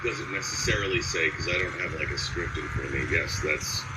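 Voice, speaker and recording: A man speaking casually as a voice actor in an interview, telling stories in an animated way. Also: sits low, fairly quick, recorded from a distance. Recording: loud ambient background noise.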